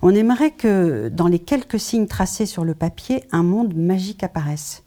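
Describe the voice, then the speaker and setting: A woman interviewing an author, reading lyrical prepared passages and asking measured questions with small hesitations. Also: fairly low pitch, big pitch swings, mellow. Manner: measured, reads lyrical prose then asks with hesitations.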